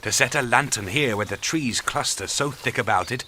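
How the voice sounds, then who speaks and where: Man playing a bright-voiced noble young king. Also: medium pitch, normal speed, a little hiss or hum. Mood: hearty wonder. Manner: archaic, formal, declamatory speech. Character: noble young king on a hunt, courtly and resolute. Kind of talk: theatrical fantasy audio drama.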